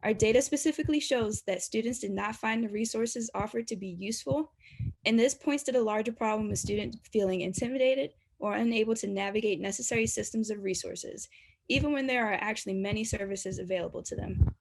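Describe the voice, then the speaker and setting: A woman's voice: on the high side, brisk, gravelly, darkish tone. A woman in her twenties with an American accent, a university student reading a scripted presentation. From Baltimore, United States. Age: 20s.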